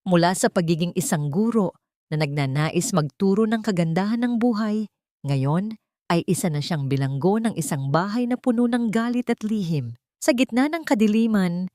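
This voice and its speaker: A woman's voice: medium pitch, lively intonation, moderate pace, warm tone, no room sound. Woman narrating a drama, close and dry. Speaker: audiobook narrator. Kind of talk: dramatic scripted story narration.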